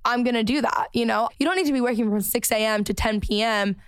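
A woman in her twenties hosts a casual podcast in a fairly high, smooth and clear voice. She is chatty and eager, firing off questions and quick asides. The sound is dry and close, with no room echo. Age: 20s. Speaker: podcast host.

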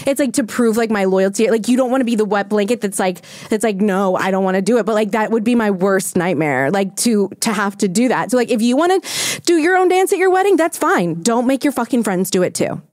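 A woman's voice: medium pitch, expressive, fairly quick, a little mellow, dry recording, studio quality. A woman hosting a podcast in casual banter between friends, with a blunt, teasing, sweary manner. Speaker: pop culture podcast host.

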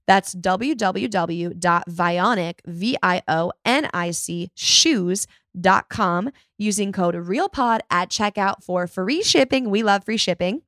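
A woman's voice: medium pitch, takes it slowly, hardly any pauses, fairly bright tone, dry recording. Woman podcast host, dry studio-style ad read. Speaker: podcast host reading sponsor ad. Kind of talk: conversational ad read.

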